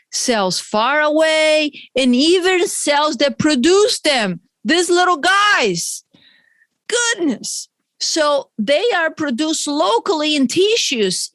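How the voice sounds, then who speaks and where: A woman's voice: high voice, fairly bright tone, dry recording. Chatty Brazilian-accented woman podcaster. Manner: chatty, explains terms with asides. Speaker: podcast host. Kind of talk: solo health podcast talk.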